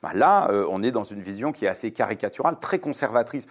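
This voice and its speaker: A man's voice: lowish voice, animated, fast talker. A man in his forties, from Lebanon, a guest author answering interview questions fluently and with many hesitations. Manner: fluent, reasoned, many fillers. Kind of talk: radio interview.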